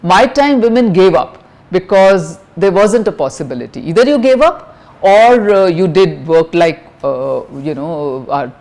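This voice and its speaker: Woman, middle-aged, Indian English accent, slightly low pitch, frank, halting, full of fillers and restarts, interview answers. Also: animated, darkish tone. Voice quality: high-pitched voice. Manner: frank, many fillers and restarts, mixes in Hindi phrases.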